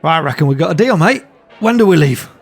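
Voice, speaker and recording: A man voicing an excitable young helicopter pilot who talks eagerly and loudly. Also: on the high side, fast, faint music behind, voice pushed up. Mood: excited. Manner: boisterous, eager, shouting with excitement.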